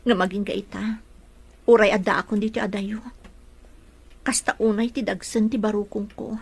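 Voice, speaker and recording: A woman playing a heartbroken, gentle young woman in a melodrama, speaking with earnest, sorrowful longing. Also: medium pitch, fairly even intonation, normal speed, gravelly, slightly rough. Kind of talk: Ilocano melodrama acting.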